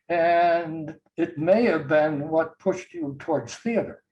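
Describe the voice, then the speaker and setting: An older man tells family stories in an unhurried, tender way, pausing and chuckling softly as he remembers. His voice sits a little high for a man. He speaks over a video call. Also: muffled recording. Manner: slow, gentle, warm storytelling with soft chuckles. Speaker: guest sharing memories. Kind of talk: casual reminiscing.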